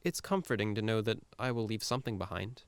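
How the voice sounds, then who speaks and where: A man's voice: on the low side, quick pace, clean tone, dry recording. A man performing a scripted first-person monologue in a reflective, musing manner with a wry edge.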